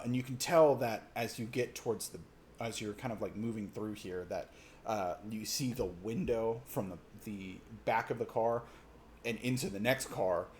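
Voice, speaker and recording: A man narrating a tabletop role-playing game as game master, in halting, conversational descriptions. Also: medium pitch, slight background noise.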